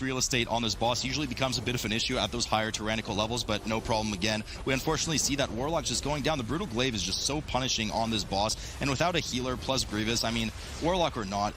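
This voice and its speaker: A man giving excitable, chatty live play-by-play commentary on a video game match. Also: lowish voice, quick pace, hardly any pauses, noisy background. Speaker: esports commentator.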